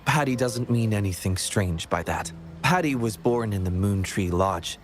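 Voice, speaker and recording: A man playing a gentle, modest young scientist in a video game, speaking in a polite, measured, reassuring way. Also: fairly low pitch, expressive, background noise, close to an everyday voice. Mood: polite, warm. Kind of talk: video game character acting.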